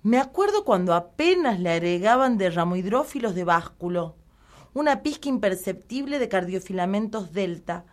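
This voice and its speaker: A woman playing a puzzled, hesitant woman in a deadpan absurd radio comedy sketch. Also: medium pitch, moderate pace, lightly acted. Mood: puzzled, nostalgic. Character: puzzled woman fussing over odd-tasting tap water.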